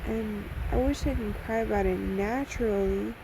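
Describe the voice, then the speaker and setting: A young woman speaks to her phone while close to tears, and her voice drops into a gravelly fry. She talks haltingly, repeats herself and sighs between thoughts. Constant vehicle noise rumbles behind her, as if she is recording inside a car. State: sad, near tears. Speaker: vlogger. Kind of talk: emotional personal video message.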